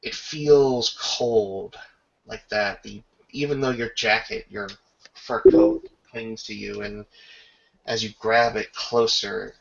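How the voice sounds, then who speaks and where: A man runs a tabletop roleplaying game, improvising scenes and calling for rolls with pauses mid-sentence. His voice is creaky with fry and has a bright, tense edge. The sound is slightly dull, as on an online voice chat. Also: lowish voice. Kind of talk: improvised game narration and rules talk.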